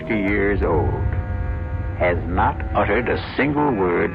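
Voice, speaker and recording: A man hosting a radio drama with a deliberate, theatrical scripted introduction in old-time style. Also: deep voice, expressive, gravelly. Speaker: radio drama host narrator. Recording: muffled old radio recording. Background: loud music.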